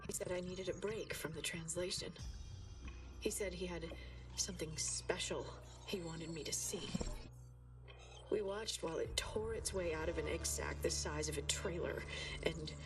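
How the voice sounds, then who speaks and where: An actress playing an exhausted, haunted woman scientist confiding in a halting, intimate audio diary for a video game. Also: fairly low pitch, far from the mic, lightly acted. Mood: weary, disturbed.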